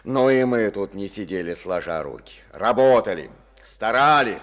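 An actor playing a boastful, rambling middle-aged district boss with folksy speech, in an old radio play. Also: male voice, fairly low pitch, lively intonation, normal speed, a bit bright. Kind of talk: Soviet radio play acting. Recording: old studio radio recording.

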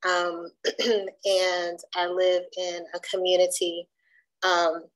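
A middle-aged woman from North Carolina, soft-spoken and measured, presenting research to a coalition over a webcam call from a room at home. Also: lowish voice, flat delivery, rough recording. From Durham, North Carolina. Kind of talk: informational presentation on a video call. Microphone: video call webcam.

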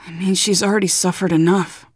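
A woman voicing a spirited, stubborn, kind-hearted young ninja hero in an anime game dub, earnest and expressive. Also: on the low side, brisk, husky, no room sound, studio quality. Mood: sorrowful, reflective.

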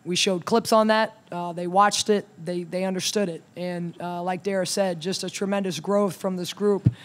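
A woman in her thirties, a head coach, talking through a game at a postgame press conference in a measured way, with many ums and restarts, into a table mic. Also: fairly low pitch, steady tone. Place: press room in front of a logo backdrop. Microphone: table mic on a stand.

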